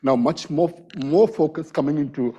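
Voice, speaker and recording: Middle-aged man, vet lecturer, raspy from a cold, higher voice, dark and mellow, self-deprecating. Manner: informal, self-deprecating, halting. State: has a bad cold. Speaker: invited lecturer, wildlife vet. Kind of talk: academic lecture. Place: lecture hall.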